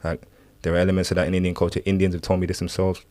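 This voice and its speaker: A man's voice: sits low, monotone, very fast, darkish tone, dry recording. A man from Uganda co-hosting a discussion, emphatic and often checking in with "right?". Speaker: podcast co-host.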